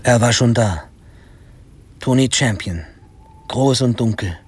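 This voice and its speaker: A man's voice: mid-range voice, slow, a little mellow, breathy edge. An actor playing a teenage boy who narrates his misadventures in a radio drama, in a wry and dramatic storytelling manner. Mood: wry suspense.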